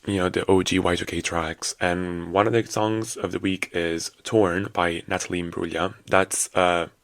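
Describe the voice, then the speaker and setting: Casting: man, 20s, very low hoarse rasp, chatty rambling solo podcaster with sassy asides, close dry sound. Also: level tone, moderate pace, a bit bright, no room sound. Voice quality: low and raspy. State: hoarse after a night out. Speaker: podcast host. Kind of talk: casual solo podcast chat.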